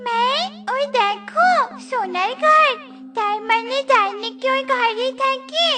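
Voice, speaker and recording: A woman's voice: very high-pitched, slow pace, recorded from a distance, over loud music. A woman voicing a timid but eager little daughter bird in a children's cartoon, childlike and exclamatory.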